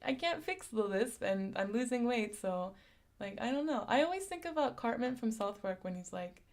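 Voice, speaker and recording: A young woman from San Diego with a lisp, chatting casually on a live stream, reading chat comments aloud and laughing often. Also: a little high. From San Diego, USA.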